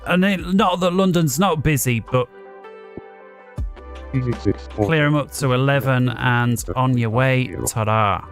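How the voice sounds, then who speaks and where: Male gaming host, mid-pitched, casual think-aloud play commentary over music. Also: slow, pauses often. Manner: thinking aloud while playing.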